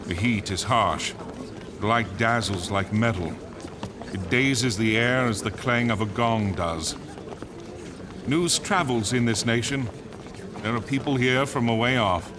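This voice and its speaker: An actor playing a tense, solemn radio announcer, giving measured, vivid live commentary on a crowded plaza in a verse drama. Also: male voice, fairly low pitch, noisy background, close to an everyday voice. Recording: clear outdoor crowd and street ambience behind.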